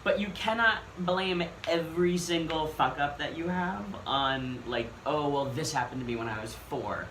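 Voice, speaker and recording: A man's voice: a little high, normal speed, few pauses, a bit bright, slight background noise. Sarcastic man in an echoey room. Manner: exasperated, sarcastic commentary. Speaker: podcast co-host. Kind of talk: casual chat.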